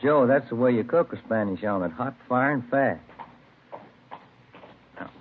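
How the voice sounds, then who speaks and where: An actor playing a folksy, opinionated American police detective sidekick in a 1950s radio drama, with emphatic delivery. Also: male voice, mid-range voice, lively intonation, normal speed, natural voice. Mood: proudly fussing. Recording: noisy, tinny vintage radio recording.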